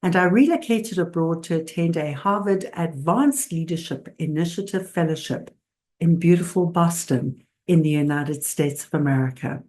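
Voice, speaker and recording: Emphatic low-voiced woman host. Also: normal speed, dark tone. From South Africa. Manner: emphatic, effusive delivery with many fillers. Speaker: podcast host. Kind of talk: interview introduction and conversation.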